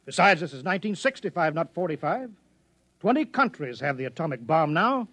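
High, tense American base officer. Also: male voice, sing-song, a little fast, a bit bright. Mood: placating. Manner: placating, tense. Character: harried military base officer, placating a friend. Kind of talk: 1950s radio drama acting. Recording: old 1950s radio broadcast.

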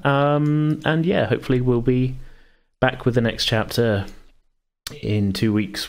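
Low-pitched man hosting a casual podcast. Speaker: podcast host and game master. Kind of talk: casual unscripted podcast chat.

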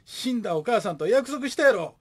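Animated, joking Kansai father played by a man. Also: very high-pitched, a little fast, slightly rough, heavily acted. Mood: stern. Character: gruff, joking widowed showbiz father. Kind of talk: comic radio drama.

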